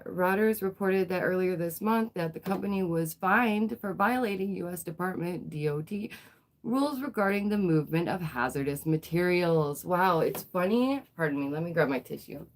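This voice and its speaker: A woman's voice: fairly low pitch, slight background noise, poor audio. A woman hosting a live news commentary stream, her voice nasal and stuffy as she gets over a cold. She talks emphatically, repeats phrases and fires off rhetorical questions. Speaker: livestream host.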